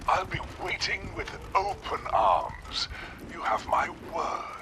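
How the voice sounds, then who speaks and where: An actor playing a sly, suave prophet in a video game, theatrical and mockingly helpful. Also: male voice, fairly high pitch, huge pitch swings, slow, background noise, full character voice. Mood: sly, coaxing.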